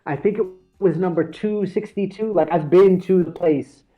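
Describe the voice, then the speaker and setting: A man's voice: high voice, moderate pace, narrow, tinny audio, soft background music. A man in his forties from the United States, a podcast guest telling stories in casual conversation, laughing often and restarting with fillers. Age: 40s.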